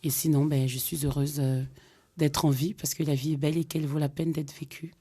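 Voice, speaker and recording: A woman's voice: low voice, flat delivery, fairly quick, dark tone, slightly rough. A middle-aged woman from Cameroon, a singer being interviewed on radio, speaking hesitantly with many fillers. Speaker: guest singer. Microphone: studio microphone.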